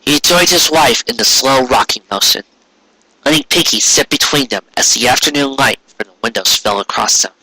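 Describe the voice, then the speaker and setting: A man's voice: mid-range voice, normal speed, bright, forceful tone, slightly rough, no echo, poor audio. A man reading scripted story narration as an amateur audiobook narrator.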